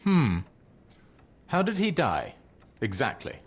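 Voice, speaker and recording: An actor playing an aloof, authoritative Victorian gentleman in a video game, British, with clipped, formal, commanding speech. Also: fairly high pitch, huge pitch swings, takes it slowly, full character voice. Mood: stern, secretive. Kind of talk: video game cutscene acting. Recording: clear background ambient noise.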